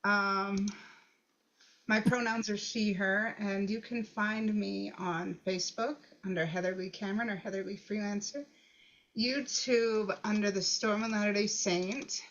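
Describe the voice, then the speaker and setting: A woman's voice: medium pitch, steady tone, unhurried, a bit bright. Chatty woman on dull, lo-fi audio. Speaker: actor and freelance writer at a table read. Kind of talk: casual self-introduction.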